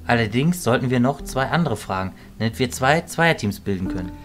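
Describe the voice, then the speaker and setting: An actor playing a kindly adult male magician and mentor in a radio play, composed, measured and formal. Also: medium pitch, lively intonation, a bit bright, soft background music, natural voice.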